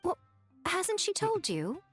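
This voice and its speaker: A woman's voice: very high-pitched, huge pitch swings, slow pace, faint music behind, exaggerated voice. A woman playing a sly, elegant shrine maiden spirit in a video game, coy, theatrical and smug. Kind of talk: English video game voice acting.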